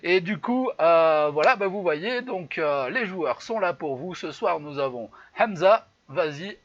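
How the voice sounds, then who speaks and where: A man's voice: on the high side, animated, measured pace, lo-fi sound. A man from France hosting a live online improv show, talking loosely with many fillers and restarts. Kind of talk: casual live-stream hosting. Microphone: computer mic on a live stream.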